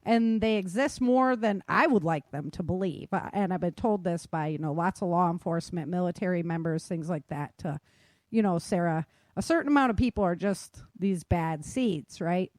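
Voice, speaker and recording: A woman's voice: lowish voice, normal speed, a little mellow, dry recording. Close, dry-sounding woman podcast host. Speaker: podcast host and audio drama author. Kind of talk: casual podcast chat.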